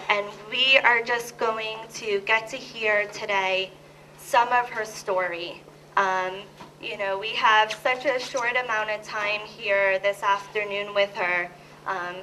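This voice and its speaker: Slow, hesitant woman host, bright voice. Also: a little high, a little hiss or hum, rough recording. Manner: slow and hesitant, many fillers. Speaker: event host. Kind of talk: introducing a speaker.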